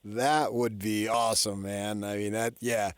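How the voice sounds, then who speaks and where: A man's voice: fairly low pitch, flat delivery, a little slow, smooth, no echo. A middle-aged man hosting sports radio, talking casually and thinking aloud as he rambles along, close to the microphone.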